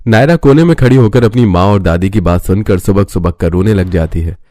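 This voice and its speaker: Male narrator, low pitch, scripted storytelling delivery. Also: fairly quick, dark tone, no room sound, clean studio sound.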